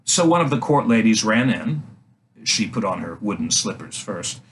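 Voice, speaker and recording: A man reading a fairy tale aloud for young children as a storyteller, in an animated style. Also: lowish voice, moderate pace. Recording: faint background noise.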